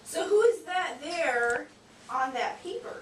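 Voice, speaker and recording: Woman acting a high, echoey, cheeky sock puppet. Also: animated, slow. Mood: teasing, puzzled. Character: cheeky, naive, excitable sock puppet pupil named Pokey. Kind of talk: amateur educational puppet show dialogue. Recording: echoey room, distant mic, hissy noise.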